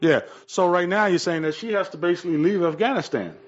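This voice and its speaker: High-voiced man hosting political talk radio. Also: quick pace, lo-fi sound. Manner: hesitant, repetitive phrasing, often echoing and agreeing. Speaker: radio show host.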